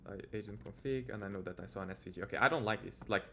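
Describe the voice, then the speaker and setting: A man's voice: lowish voice, very fast, vocal fry, pushed and bright, slight background noise. A man with a Portuguese accent giving a casual screen-share tutorial, speaking informally and hesitantly with lots of filler words. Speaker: software developer presenting a tutorial.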